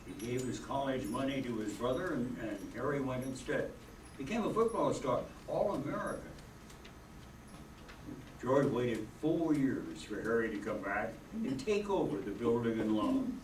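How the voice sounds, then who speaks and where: A man's voice: mid-range voice, animated, normal speed, darkish tone, natural voice. An older man acting as plain-spoken storytelling narrator in an amateur radio play. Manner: explanatory, storytelling. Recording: echoey room, distant mic, faint noise.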